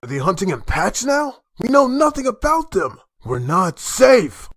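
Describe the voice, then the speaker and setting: A man's voice: high-pitched, huge pitch swings, measured pace, no echo, clean studio sound, exaggerated voice. A man voicing a stern, desperate father who leads a group of survivors in a comic dub. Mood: urgent, angry.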